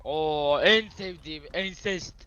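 A man's voice: on the high side, takes it slowly, sharp tone, dull audio. A man trading confrontational, swearing trash talk in an online game voice chat. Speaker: rival player in roleplay game.

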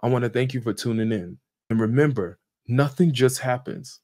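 A man's voice: medium pitch, normal speed, a little mellow. A man in his forties hosting a channel, reading a scripted sermon review from a home office with small slips and restarts. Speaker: channel host.

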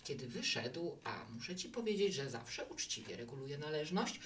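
Low, expressive actress as a chatty barkeeper woman. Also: normal speed, recorded from a distance, slight background noise. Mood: wistful storytelling. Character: chatty, romantic-minded woman running a bar, teasing and hospitable. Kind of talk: Polish audio drama acting.

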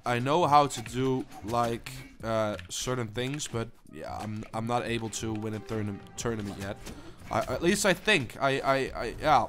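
A young man giving casual gameplay commentary, stammering and swearing, swinging between low mumbles and excited yelling. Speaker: gaming channel host.

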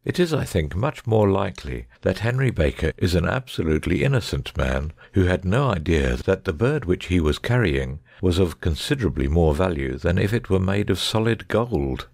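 A very low, gravelly gentleman detective, acted by a man in an audiobook drama. He is shrewd and commanding, with precise phrasing and a lively, swooping intonation. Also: normal speed, hardly any pauses, dry recording, heavily acted. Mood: composed, genial.

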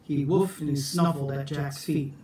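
A middle-aged man reads a children's picture book aloud in an expressive, theatrical, deliberate storytime manner. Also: on the high side. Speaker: storytime reader for schoolchildren. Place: small room at home, indoors. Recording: echoey room, distant phone mic.

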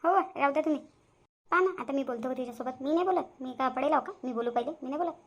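A woman's voice: high voice, fast talker, highs cut off. A woman voicing a proud, grumbling grandmother in a cartoon family drama, speaking with a Vidarbha Marathi accent. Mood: offended. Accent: Vidarbha Marathi (Varhadi). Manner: nagging, complaining, muttering asides. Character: proud, grumbling, boastful grandmother and mother-in-law.

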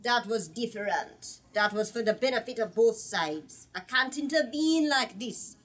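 A woman's voice: on the high side, lively intonation, measured pace, fairly bright tone. A woman voicing an ancient, snappish witch in a storybook reading, emphatic and scornful. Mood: defiant.

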